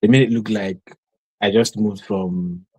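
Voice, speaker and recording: A young man with a Nigerian accent answering interview questions spontaneously, haltingly, repeating phrases as he searches for words. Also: fairly low pitch, steady tone, warm tone, breathy edge, dry recording. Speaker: interview guest, master's student.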